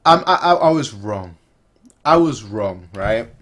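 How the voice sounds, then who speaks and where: A man giving casual, excitable reaction commentary over a video, often repeating himself for emphasis. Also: on the low side, takes it slowly.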